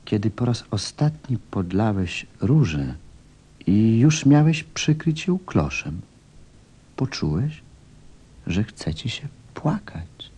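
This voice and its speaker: A man playing a grave, gentle narrator and confidant, telling a story in a measured, reflective way. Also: fairly low pitch, very animated, husky, heavily acted. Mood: solemn storytelling.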